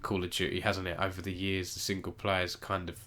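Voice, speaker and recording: Casual male stream host, slightly low, nasal with a cold, chatty and rambling. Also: completely flat delivery, fairly quick, pushed and bright. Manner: rambling, self-correcting, chats with viewers. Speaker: esports news host. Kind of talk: casual live-stream news roundup.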